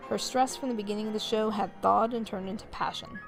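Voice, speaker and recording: A woman hosting a let's play, reading visual novel text aloud in a measured way with casual asides. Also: medium pitch, fairly even intonation, vocal fry, over music. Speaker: host of a gaming video series.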